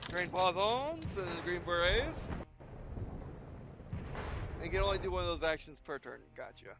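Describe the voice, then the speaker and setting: A man with a high voice does let's play commentary on a strategy game, excitable and jokey, cheering and talking back at the screen. Game music plays clearly under his voice. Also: normal speed. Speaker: gamer playing a strategy game.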